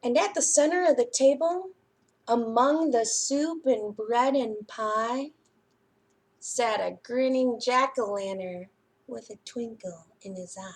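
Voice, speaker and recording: Lady doing kids story time, high voice, takes it slow, kinda sing-song and expressive. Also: husky, low-quality recording. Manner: sing-song, expressive, addressing young children. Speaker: library story time host. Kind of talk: children's picture book reading and craft demonstration.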